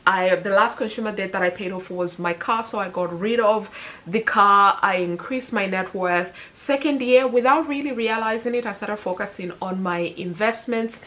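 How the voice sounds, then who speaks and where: A woman in her thirties from the UK, talking casually to camera as a personal finance vlogger. Her voice sits at a middle pitch. She is chatty and upbeat, and often corrects herself as she goes. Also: moderate pace, fairly bright tone. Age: 30s.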